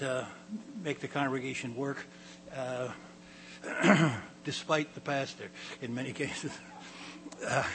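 An older man with a raspy voice, a pastor giving a halting sermon to his congregation, often clearing his throat and repeating words. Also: medium pitch, a bit bright, slight background noise. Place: church hall.

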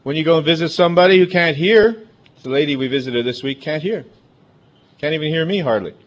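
A man's voice: a little high, a little fast. A man with a big, emphatic voice, preaching a chatty, conversational sermon full of asides. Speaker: pastor. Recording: dull lo-fi recording.

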